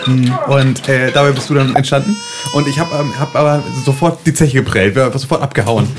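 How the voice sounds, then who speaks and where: A man's voice: medium pitch, a little fast, music in the background. A man improvising a roleplay story in casual chat, stammering and repeating words as he goes. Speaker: tabletop roleplay player. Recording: faint noise behind voice.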